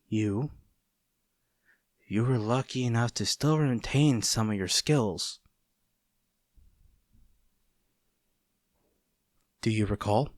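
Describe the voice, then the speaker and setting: Man acting a slow, smug, low-voiced agent. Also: no room sound, clean studio sound. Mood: cold, gloating. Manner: measured, theatrical, taunting, with deliberate pauses. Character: smug, taunting male agent, villain's bitter former childhood friend. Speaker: audio roleplay performer. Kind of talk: dramatic scripted roleplay monologue.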